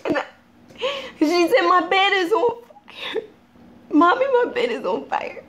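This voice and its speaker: A woman performing a dramatic monologue as a grieving, unhinged mother tormented by guilt, halting and anguished. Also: very high voice, big pitch swings, fairly bright tone, full character voice. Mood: distraught.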